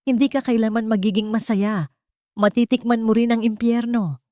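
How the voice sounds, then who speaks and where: Woman acting out an enraged, venomous crime boss, pitched up. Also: steady tone, normal speed, no echo, clean studio sound, close to an everyday voice. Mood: bitter, cursing. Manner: shouting accusations with contempt. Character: enraged, venomous crime-boss father, middle-aged man.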